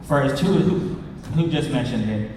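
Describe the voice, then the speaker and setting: A man's voice: medium pitch, a little slow. A man hosting a convention panel, talking casually and informally with slangy phrasing. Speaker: panel host. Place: large indoor convention panel room. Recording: echoey hall, distant, crowd murmur.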